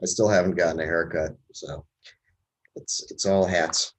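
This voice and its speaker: Man, lowish voice, relaxed self-deprecating host, video call meetup, lots of ums and uhs. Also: normal speed. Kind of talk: casual online community meetup.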